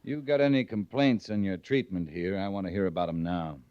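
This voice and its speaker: An actor playing a stern, steady frontier cavalry captain in a measured, commanding, terse 1950s Western radio drama style. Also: male voice, sits low, smooth, no echo, lightly acted. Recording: muffled old radio broadcast recording, dry.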